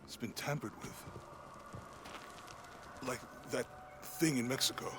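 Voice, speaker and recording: An easygoing male expedition companion in a video game, as an actor voices him, with a mid-pitched voice. Clear ambient noise runs behind him. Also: unhurried, natural voice. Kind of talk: video game cutscene acting.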